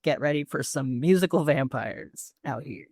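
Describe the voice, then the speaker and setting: A woman's voice: very deep voice, lively intonation, normal speed, a little mellow, slightly rough, no echo, studio quality. An American woman co-hosting a podcast in casual, comedic chat with an irreverent, slangy way of talking. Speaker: podcast co-host.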